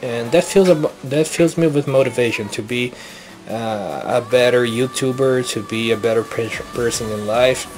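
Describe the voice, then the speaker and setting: Young Brazilian man, Brazilian Portuguese accent, halting and earnest, casual home-made vlog. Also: medium pitch, faint music behind, low-quality recording. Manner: halting, many restarts and self-corrections, earnest. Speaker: YouTuber.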